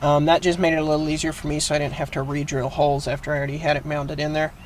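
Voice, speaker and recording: Higher-voiced man giving a casual DIY tutorial. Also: flat delivery, rapid-fire, slight background noise. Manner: plain-spoken, explanatory. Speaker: host of a how-to video.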